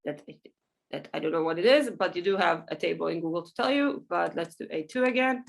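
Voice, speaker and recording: Woman, Hebrew speaker, somewhat low pitch, casual workshop presenter, loose chatty delivery. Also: animated, fairly quick, gravelly. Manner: loose and chatty, many ums, small laughs. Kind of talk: casual software tutorial over screen share.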